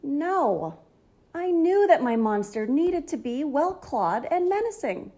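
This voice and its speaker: A woman reading a children's story aloud in an enthusiastic, theatrical, expressive manner. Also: high voice, slow. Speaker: storybook reader, channel host.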